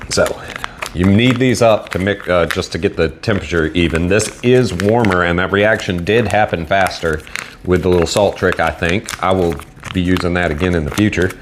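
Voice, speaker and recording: A man with a Southern American accent casually reviewing food on camera in a relaxed, rambling way. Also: sits low, moderate pace, background noise. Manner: laid-back, rambling, self-deprecating. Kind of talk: casual solo video review.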